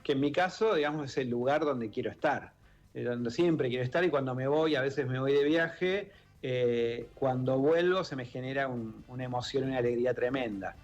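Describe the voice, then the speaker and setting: A man's voice: medium pitch, normal speed, muffled recording. A man with a Rioplatense Argentine accent, a radio interview guest speaking thoughtfully, with many fillers and restarts. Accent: Rioplatense Spanish. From born in Italy, raised in Argentina. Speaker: guest, author and member of an exile-children group. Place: radio studio.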